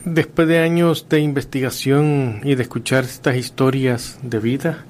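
A man's voice: medium pitch, fairly even intonation, normal speed, a little mellow. A man interviewing a guest, asking questions and reading introductions in a formal way.

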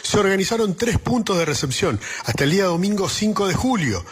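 A male radio reporter reading his news piece quickly and steadily. His voice is husky and sits a bit high for a man. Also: very animated. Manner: quick, scripted delivery.

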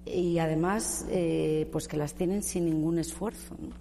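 A woman speaking as a panel guest, explaining in a measured way, with many fillers and tag questions. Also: sits low, steady tone, vocal fry, dark tone. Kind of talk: discussion panel. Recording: reverberant room with faint noise.

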